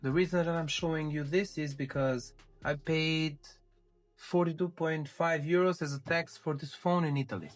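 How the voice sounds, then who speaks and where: A man presenting a casual tech unboxing, explaining things step by step with small hesitant pauses. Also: fairly high pitch, fairly even intonation. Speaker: tech reviewer presenting his channel.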